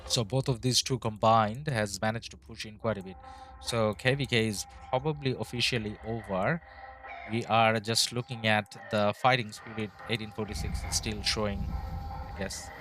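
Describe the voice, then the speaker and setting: A man's voice: fairly low pitch, normal speed. A man hosting a live game stream, chatting casually, hedging his views and answering his viewers. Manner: relaxed, hedging, reads chat aloud. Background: background music.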